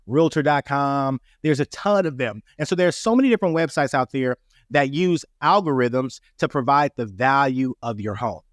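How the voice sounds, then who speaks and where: A man hosting an instructional podcast, his voice raspy from a cold, talking in an earnest, explaining way. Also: a little high, no room sound, clean studio sound. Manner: earnest, repeats "right?" and "that being said". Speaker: podcast host. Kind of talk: casual instructional podcast talk.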